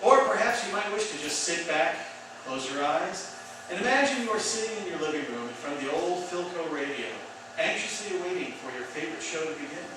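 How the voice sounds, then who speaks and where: A man's voice: a little high, expressive, brisk. A man presenting a radio theatre show with a chatty scripted introduction. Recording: very echoey, distant mic, clear background noise.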